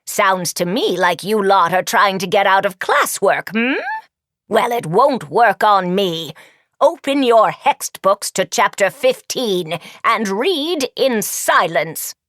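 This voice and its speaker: A woman voicing an ancient, stern old sorceress teacher in a storybook, with a dry, raspy, drawn-out, scornful delivery. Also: mid-range voice, animated, fairly bright tone, no echo. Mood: dismissive.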